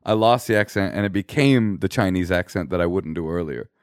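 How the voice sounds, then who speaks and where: A man's voice: sits low, fairly quick, clean tone, no room sound, studio quality. A man co-hosting a comedy podcast, joking, riffing and sarcastic in casual chat. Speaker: podcast co-host.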